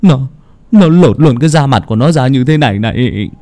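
A man's voice: medium pitch, lively intonation, fast talker, a little mellow, natural voice. A man voicing a shaken middle-aged villager who stammers and wails. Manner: stammering, wailing.

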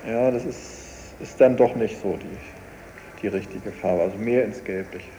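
A man's voice: lowish voice, measured pace, warm tone. A man speaking casually and hesitantly, searching for his words as he muses in an interview. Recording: faintly noisy cassette radio recording.

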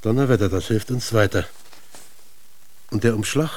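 A man's voice: lowish voice, moderate pace, a little mellow, natural voice. Man playing a shrewd, businesslike police inspector. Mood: matter-of-fact, dry. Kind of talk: German radio crime drama acting.

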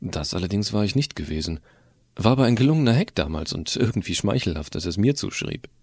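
A man's voice: fairly low pitch, very animated, fast talker, darkish tone, no echo. A man narrating as a sardonic, self-assured teenage hacker in a first-person audio drama, casual and wry. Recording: clearly audible hiss.

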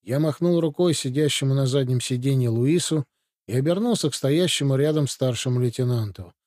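A man narrating an audiobook in the first person, with even, measured storytelling. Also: medium pitch, no echo, studio quality.

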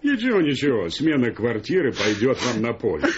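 Brusque, bossy man with swooping pitch. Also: huge pitch swings, fairly quick, highs cut off, noisy background. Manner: scolding, brusque. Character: bossy, grumpy male double bass personified, domineering. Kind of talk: radio play acting.

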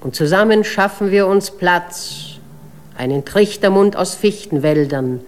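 An actress playing a proud, wild river spirit, reciting a lyrical, solemn monologue in a poetic radio play. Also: female voice, lowish voice, animated, a little slow. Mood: solemn, flowing. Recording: noisy recording with ambient sound.

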